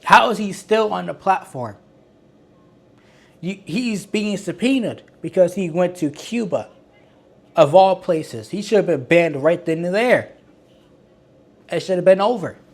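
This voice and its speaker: A man talking casually as the host of an online commentary channel. He sounds exasperated, rambles, restarts his sentences often, and calls his own voice monotone. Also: high voice, measured pace, pauses often. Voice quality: self-described monotone. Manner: rambling, with frequent restarts and filler words; exasperated and critical.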